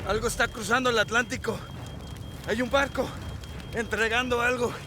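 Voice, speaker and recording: An actor playing a tense, loyal Mexican special forces deputy in a video game, with a Mexican accent. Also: male voice, very high voice, steady tone, fairly quick, music in the background. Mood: urgent, strained. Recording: noisy outdoor vehicle ambience.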